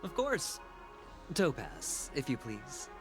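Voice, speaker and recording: A man's voice: medium pitch, wildly swooping pitch, takes it slowly. A man playing a suave, theatrical young corporate negotiator in a video game, with a formal, showy way of talking. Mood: suave, gracious. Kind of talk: scripted video game dialogue. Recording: music mixed under the voice.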